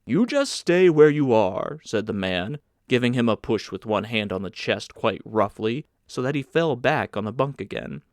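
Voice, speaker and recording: A man's voice: fairly low pitch, normal speed, hardly any pauses, no room sound, clean studio sound. A man reading scripted literary narration as an audiobook narrator.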